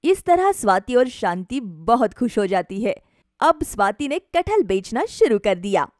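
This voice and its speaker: A woman storyteller with a fairly high voice narrates a scripted moral tale for a cartoon. The sound is close and dry, with no room echo. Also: sing-song, unhurried, a little mellow.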